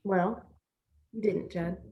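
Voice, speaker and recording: A woman's voice: medium pitch, fairly even intonation, slow, lo-fi sound, natural voice. An actress playing a loyal, reassuring young country woman in a stage play reading, plain-spoken and earnest. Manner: folksy, earnest, reasoning things out.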